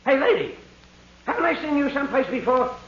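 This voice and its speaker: A man playing a slangy, giggly, jittery undertaker in a 1940s radio comedy, with a gravelly voice. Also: very high voice, sing-song, fairly quick. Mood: jocular. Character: jittery, chuckling wisecracking undertaker. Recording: dull, noisy, roomy vintage radio, audience behind.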